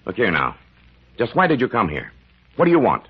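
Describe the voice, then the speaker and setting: Stern scholarly doctor, low and animated, formal old radio drama delivery. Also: male voice, brisk, slightly rough, heavily acted. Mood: indignant. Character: stern, skeptical learned doctor and father. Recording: muffled vintage radio drama recording.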